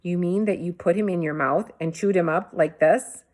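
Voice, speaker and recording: A woman's voice: fairly low pitch, moderate pace, darkish tone, lightly acted. A fussing mother from a children's storybook, acted by a woman reader. She sounds disbelieving as she scolds and coaxes her young sons. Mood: coaxing disbelief. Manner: scolding and coaxing.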